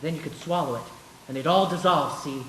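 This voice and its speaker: A man playing an eager, restless young small-town man in a live radio play, folksy and excitable. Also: on the high side, fairly quick, close to an everyday voice. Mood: playful, earnest. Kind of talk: live 1940s-style radio play acting. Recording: echoey stage, background noise.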